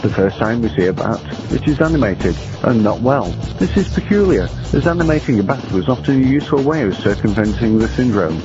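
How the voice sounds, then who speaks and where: A man's voice: medium pitch, animated, brisk, hardly any pauses. A man narrating a scripted film review with dry, wry, formal phrasing. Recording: muffled lo-fi recording. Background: guitar music.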